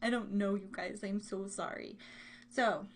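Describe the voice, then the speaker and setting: A woman's voice: medium pitch, fairly even intonation, unhurried, slight background noise. An American woman casually hosting a live online show, rambling along in a bubbly, informal way with her listeners. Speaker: host of a live online radio show and podcast.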